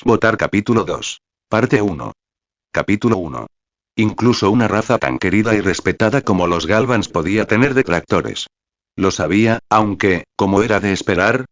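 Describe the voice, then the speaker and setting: A man narrating a fan novel in a steady, even audiobook style. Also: low voice, dry recording, highs cut off.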